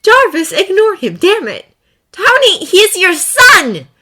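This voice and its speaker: A woman reading a story voices a sharp, exasperated female assistant who scolds. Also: medium pitch, moderate pace, pitched above the performer's own voice, close to an everyday voice.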